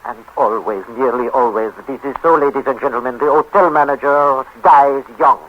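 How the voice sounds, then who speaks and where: An actor playing a melodramatic, long-suffering hotel manager, narrating in florid, halting, self-pitying phrases. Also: male voice, medium pitch, lightly acted. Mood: aggrieved. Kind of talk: 1930s radio acting, narrating to audience. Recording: muffled 1930s radio broadcast, faint music.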